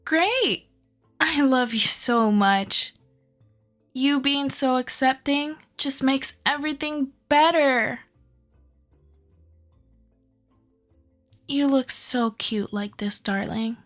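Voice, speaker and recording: A woman's voice: high-pitched, slow pace, faint music behind. A woman playing an obsessive, sweetly possessive young kidnapper in love, coaxing and doting in an ASMR audio roleplay. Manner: doting, coaxing, softly controlling.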